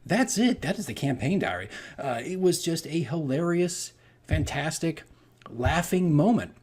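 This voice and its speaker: A man's voice: a little high, lively intonation, unhurried, a little mellow. Man rambling through a casual story. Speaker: tabletop game master recounting his campaign.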